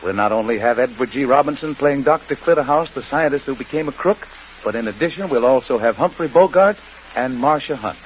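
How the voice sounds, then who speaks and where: A man presenting a 1940s radio drama program from a script, with formal, measured phrasing. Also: medium pitch, expressive. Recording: muffled, faintly noisy vintage radio broadcast.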